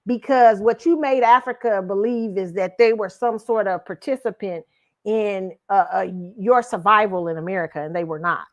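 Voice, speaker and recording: A woman's voice: medium pitch, normal speed. A woman hosting her own commentary show, reacting to a clip bluntly and emphatically. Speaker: show host giving commentary.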